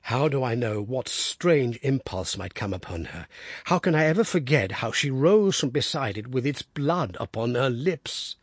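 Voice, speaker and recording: A moody middle-aged father, as a man voices him, speaking low and heavily. The voice is husky and the room is dry. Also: lively intonation, moderate pace, no room sound. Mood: brooding. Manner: low, subdued, brooding.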